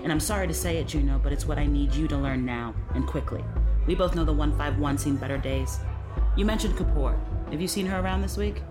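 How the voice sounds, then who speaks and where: A middle-aged police captain played by a woman speaks low, with music clearly behind her. She is brisk, wry and motherly, and used to getting her way. The delivery is natural audio drama dialogue with a folksy, persuasive push. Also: close to an everyday voice.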